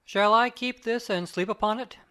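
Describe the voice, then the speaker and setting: A man playing a grave, probing middle-aged Victorian lawyer in an audiobook drama, with measured, formal speech. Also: high-pitched, bright, forceful tone, smooth. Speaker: audiobook cast reader. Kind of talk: dramatic reading of Victorian fiction.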